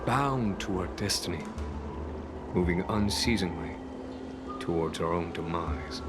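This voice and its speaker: A grave general in a story-driven game, with a very low voice. He speaks formally and solemnly, measuring each phrase as he tells of old legends over clear background music. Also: a little slow. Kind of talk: scripted dramatic game monologue.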